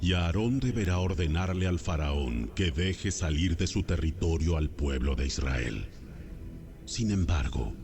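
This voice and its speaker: An actor playing God in a dramatized audio Bible, speaking with solemn, measured authority. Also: male voice, sits low. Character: God, commanding, solemn male deity of the Bible. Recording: loud music under the voice.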